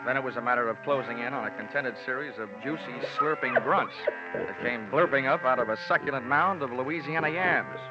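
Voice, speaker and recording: A man's voice: mid-range voice, fairly quick, close to an everyday voice. Wisecracking insurance investigator, played by a man, dry narration, 1950s radio drama, dull recording with music behind.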